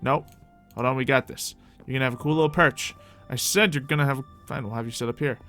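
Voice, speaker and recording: A man's voice: mid-range voice, fast, sharp tone, soft background music. A man giving casual, improvised roleplay game commentary, chatting and thinking aloud as host of a gaming video.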